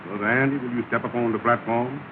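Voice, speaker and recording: An actor in a 1930s film comedy playing a pompous, ceremonious lodge leader who speechifies with mangled formal words. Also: male voice, medium pitch, fairly even intonation, fast talker, natural voice. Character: pompous, ceremonious male lodge leader presiding over meeting. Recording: scratchy, tinny, noisy 1930 film soundtrack.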